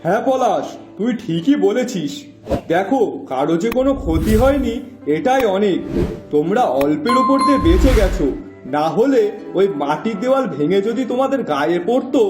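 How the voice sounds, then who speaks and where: A man's voice: high voice, expressive, moderate pace, over music. A man with a Bengali accent playing a sympathetic, earnest village neighbour in a folk-tale drama. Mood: consoling. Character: sympathetic male villager, a concerned neighbour and friend. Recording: echoey, roomy sound.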